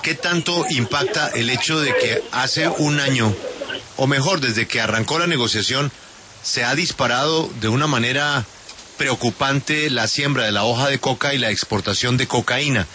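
A man's voice: medium pitch, moderate pace, bright, forceful tone, a little hiss or hum. A man hosting a radio interview, asking informal questions with hesitant fillers. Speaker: radio host.

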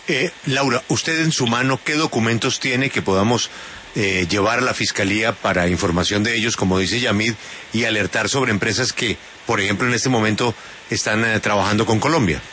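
A man's voice: fairly low pitch, moderate pace, bright, forceful tone, slight background noise. A male radio host interviewing a guest, asking short, direct questions. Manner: brief prompts, direct questions.

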